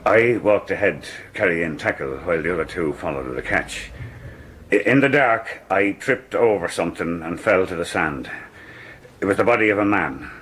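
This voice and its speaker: A man's voice: low voice, expressive, moderate pace, a bit bright, breathy edge, exaggerated voice. A man playing a deferential, hesitant fisherman, testifying in a radio drama. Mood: nervous. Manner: hesitant, stammering at first, deferential. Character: nervous, deferential Irish fisherman giving testimony.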